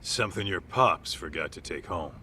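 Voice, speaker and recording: An actor playing a gruff middle-aged ex-gangster turned detective in a video game dub, talking bluntly and wearily in informal, clipped phrases. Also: male voice, low-pitched, expressive, normal speed, sharp tone, slight background noise, heavily acted. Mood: weary, exasperated.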